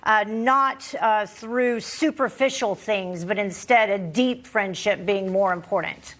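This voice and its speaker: A woman's voice: mid-range voice, slow, fairly bright tone. An American woman hosting a political panel show in a conversational way, putting questions to guests. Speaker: TV talk show host.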